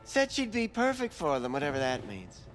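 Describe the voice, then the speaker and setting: Callous back-alley doctor, higher-pitched, animated, whiny, defensive stammer, video game drama. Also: male voice, moderate pace, faint music behind. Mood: evasive, callous. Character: shifty, callous, pathetic back-alley implant doctor, whining under interrogation.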